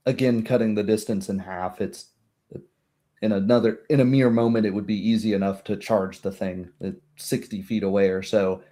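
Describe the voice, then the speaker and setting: A man's voice: fairly low pitch, fairly even intonation, normal speed, warm tone. A man narrating a tabletop roleplay as game master, with dramatic, descriptive storytelling.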